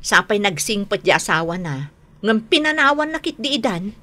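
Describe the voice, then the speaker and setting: A woman's voice: mid-range voice, sing-song, moderate pace, bright, forceful tone. A woman playing a grown daughter fretting over family trouble in a radio drama, with an Ilocano accent and a sighing, complaining manner. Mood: troubled.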